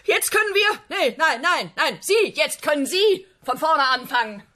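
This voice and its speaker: A woman's voice: high-pitched, very animated, moderate pace, pushed and bright, full character voice. A woman playing an imperious, sarcastic and exasperated boss of a heavenly agency in a comic radio play. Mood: furious.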